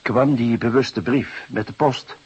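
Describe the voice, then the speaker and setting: An actor playing a stern police detective who questions a suspect in a gruff, husky voice, insistent and terse, in a crime drama. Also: male voice, mid-range voice, steady tone, unhurried, a little mellow, muffled recording. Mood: stern, probing. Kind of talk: Dutch crime drama acting, interrogation.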